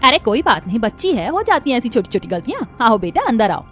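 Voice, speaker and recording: A woman's voice: on the high side, big pitch swings, fast, soft background music. A woman voicing a kind, reassuring middle-aged mother-in-law in a Hindi cartoon story.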